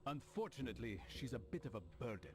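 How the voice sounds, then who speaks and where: A serious video game assassin played by a man, with a medium-pitched voice. He talks tersely and matter-of-factly, in measured phrases, sure of himself. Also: lively intonation, lightly acted. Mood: dry. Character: terse, grave, self-assured male assassin. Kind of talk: video game cutscene acting.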